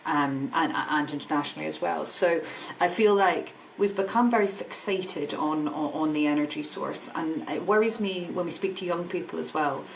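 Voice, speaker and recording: A woman's voice: deep voice, normal speed, vocal fry, slight background noise, poor audio. A Scottish woman in a podcast interview, speaking earnestly and enthusiastically, repeating words as she thinks. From Scotland. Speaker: guest, energy business director.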